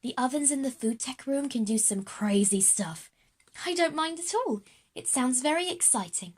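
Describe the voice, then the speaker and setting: Woman as schoolchild, children's audio drama, high voice, polite and earnest. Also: animated, normal speed, husky. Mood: eager, friendly.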